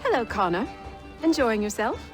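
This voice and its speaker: A woman playing a spirited, grateful settler wife in a video game cutscene, heartfelt and expressive. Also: fairly high pitch, moderate pace, over music. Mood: cheerful.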